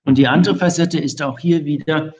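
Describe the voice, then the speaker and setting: A middle-aged man answering interview questions as an infection medicine expert, speaking in long, measured, formal sentences full of academic vocabulary. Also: a little high, a little mellow. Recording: dull lo-fi audio.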